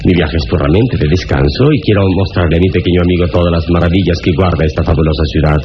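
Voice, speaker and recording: An actor playing a suave, confident adventurer hero in a 1960s radio serial, speaking in a grand, deliberate, theatrical manner. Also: male voice, sits low, noisy background, natural voice. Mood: enthusiastic, courteous. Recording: muffled 1960s radio serial, music behind.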